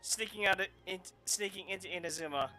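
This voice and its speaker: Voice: mid-range voice, fairly quick. A gamer giving casual commentary over a story quest playthrough. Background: game music.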